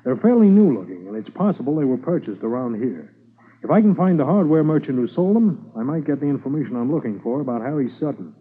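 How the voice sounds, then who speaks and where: An actor playing a brisk, methodical Mountie sergeant in a 1950s radio drama, businesslike and probing. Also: male voice, medium pitch, big pitch swings, husky. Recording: muffled old 1950s radio recording.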